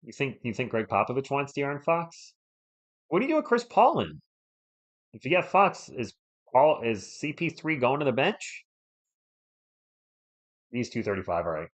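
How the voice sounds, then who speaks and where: A man's voice: medium pitch, big pitch swings, moderate pace, long pauses. A man hosts a live sports stream while slightly under the weather. He mixes casual fan play-by-play with conversational replies to viewers, whom he greets by name. Playful asides are scattered through his commentary.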